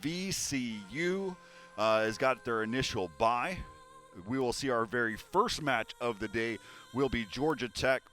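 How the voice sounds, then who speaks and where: A man's voice: mid-range voice, a little slow, fairly bright tone, faint music behind. A young man casting esports, hosting a live desk wrap-up in an upbeat way with many fillers. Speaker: esports caster and host.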